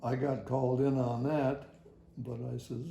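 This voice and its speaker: Slow, gravelly older man reminiscing. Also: mid-range voice, little pitch movement, dark tone, reverberant, slight background noise. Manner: halting, rambling, folksy storytelling. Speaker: retired air traffic facility worker. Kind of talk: personal oral history storytelling.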